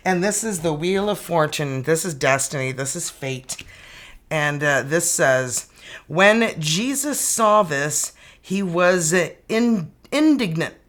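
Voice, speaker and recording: A tarot reader in their fifties, talking in a loose, chatty way and breaking into exclamations. Their speaking pitch sits in the middle of the usual range. Card quotes are read out slowly and carefully. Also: expressive, a bit bright. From Canada. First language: French. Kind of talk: casual tarot reading to camera.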